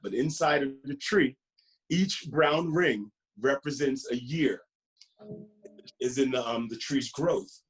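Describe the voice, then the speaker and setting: Slow-paced man reading a bedtime picture book. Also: medium pitch, poor audio. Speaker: storybook reader. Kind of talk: expressive read-aloud of a children's picture book with asides to the listener.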